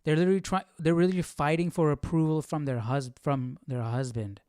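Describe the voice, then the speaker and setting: A man's voice: fairly high pitch, steady tone, a little fast, darkish tone, no echo. A man hosting a casual live Bible study stream, thinking aloud in an informal, hesitant way.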